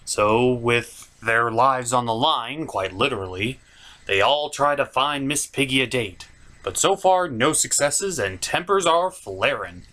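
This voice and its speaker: Male comedy reviewer, animated pitch, theatrical, exasperated, scripted skit delivery. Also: a little slow. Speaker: comic reviewer and host.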